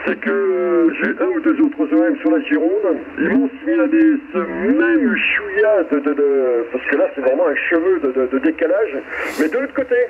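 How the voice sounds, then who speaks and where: A man with a high-pitched voice comes through a narrow, tinny two-way radio with some static. He chats casually as an operator, explaining technical details in a halting way with repeated words. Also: sing-song. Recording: tinny, noisy CB radio transmission.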